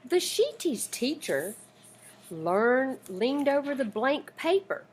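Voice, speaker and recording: A woman reading a children's picture book aloud in an expressive, deliberate, dramatic storytelling manner. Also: high-pitched, breathy edge.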